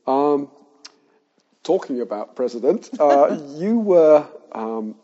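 Mellow-voiced male interviewer. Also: fairly high pitch, very animated, slow. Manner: encouraging, hesitant phrasing. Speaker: podcast host, interviewer. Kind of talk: interview questions. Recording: slightly muffled, lo-fi audio.

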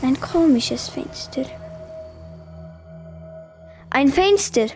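Young girl, ten to twelve, high pitch, slow delivery, scripted reading. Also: animated, faint music behind. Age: child, 10 to 12. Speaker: young workshop participant reading own text.

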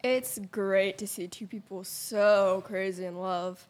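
A woman's voice: medium pitch, fairly even intonation, takes it slowly, lightly acted. A woman playing a cheeky, riddle-loving comic book character in a youth audio play. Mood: cheerful.

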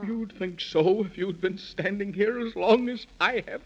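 A quaking talking tree: high, quavery, stuttering grumbler for vintage children's radio, muffled lo-fi sound. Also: male voice, unhurried, darkish tone. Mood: shivery, grumbling. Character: shivering, stammering talking aspen tree, good-humoured grumbler. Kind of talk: 1930s children's radio serial acting. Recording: dull lo-fi 1930s radio recording.

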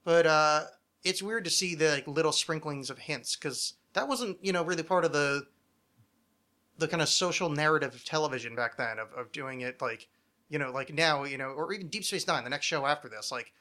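A man's voice: on the high side, brisk, pushed and bright. A man in his thirties hosting a podcast, chatting casually in a rambling, freely sweary way. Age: 30s. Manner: rambling, digressive, freely profane. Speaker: podcast host. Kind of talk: casual chat about television.

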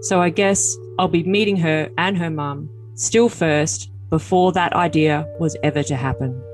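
A woman's voice: sits low, measured pace, a little mellow, soft background music, rough recording. A woman voicing an earnest, defensive, lovesick young man in a dramatic reading of text messages. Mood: earnest, hesitant. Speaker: podcast cast reader.

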